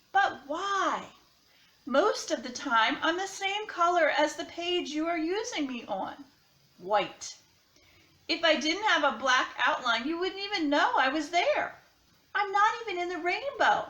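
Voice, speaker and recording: A woman reads as a forlorn storybook crayon that feels invisible. The plaintive voice is high and unhurried, recorded with noticeable room echo. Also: lively intonation, sharp tone, heavily acted. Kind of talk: children's picture-book read-aloud. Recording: roomy, echoing sound.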